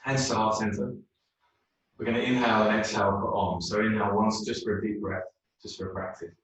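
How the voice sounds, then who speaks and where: A man leading a guided meditation in a yoga class, speaking slowly and soothingly. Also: on the low side, level tone, a bit bright. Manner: slow, soothing, unhurried. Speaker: yoga teacher. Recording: very echoey room, mic far off.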